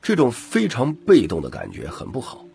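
A man narrating an audiobook in a scripted, dramatic storytelling style, with a husky, gravelly voice. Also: medium pitch, wildly swooping pitch, moderate pace, dark tone, soft background music.

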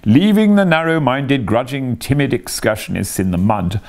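A man's voice: medium pitch, animated, normal speed, voice pushed up, lightly acted. A man voicing a boastful, reckless male toad who crows with self-admiration. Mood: gleeful boasting. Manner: gleeful, self-admiring, shouting.

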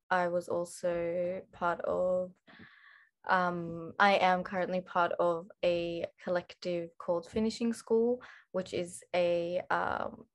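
A woman, introducing herself on an online panel in an unhurried way, with many pauses, over a video call from a room at home. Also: lowish voice. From Australia. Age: 20s. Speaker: panel speaker, writer and actress.

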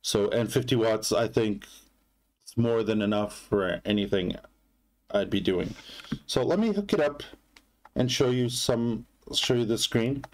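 Slow-paced man casually demonstrating gear. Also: on the low side, lively intonation. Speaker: product reviewer.

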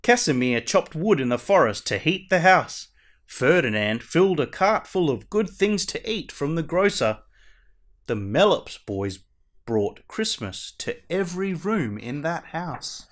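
A man acts out a masked superhero at storytime, reading a picture book to children. The voice sits slightly high and swings widely in pitch. The delivery is theatrical and deliberate, drawing out the ends of phrases. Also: big pitch swings, a bit bright. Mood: animated storytelling. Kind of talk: animated picture-book read-aloud.

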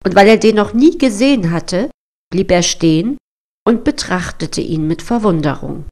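A woman storyteller narrating a scripted fairy tale in a measured, expressive storybook manner. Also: mid-range voice.

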